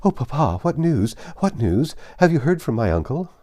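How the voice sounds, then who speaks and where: A man reads as an impatient young gentlewoman in a classic novel, pitched up from his own voice. The delivery is urgent and anxious, full of exclamation, with lively swings of pitch. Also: normal speed, no echo, clean studio sound. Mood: eager, anxious. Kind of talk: audiobook character dialogue.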